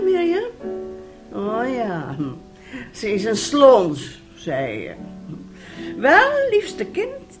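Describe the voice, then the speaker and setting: An actress in a radio drama playing a bitter, sarcastic middle-aged wife and mother who talks reproachfully and theatrically. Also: mid-range voice. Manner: reproachful, sarcastic, dramatic, swinging between hushed and loud. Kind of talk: radio drama acting in Dutch.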